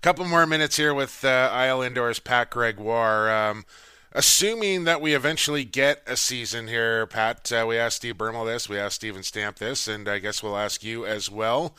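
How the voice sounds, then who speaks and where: Guy hosting a sports podcast, bright clear voice, super dry sound, rambly and jokey. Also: medium pitch, normal speed, dry recording. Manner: chatty, teasing, rambling. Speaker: podcast host. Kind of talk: casual sports talk.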